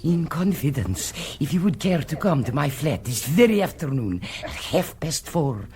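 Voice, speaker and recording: A woman's voice: low voice, big pitch swings, normal speed, dark tone. A woman playing an imposing foreign princess and seance medium in a radio comedy, with an Eastern European accent and portentous, stilted speech. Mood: mystical, confiding. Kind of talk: radio comedy drama acting.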